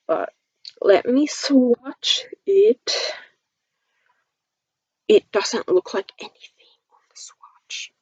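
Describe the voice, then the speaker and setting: A woman's voice: medium pitch, dry recording, muffled recording. A woman from Finland chatting casually about products as a beauty vlogger, in a gushing, giggly manner. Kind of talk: casual product review chat to camera.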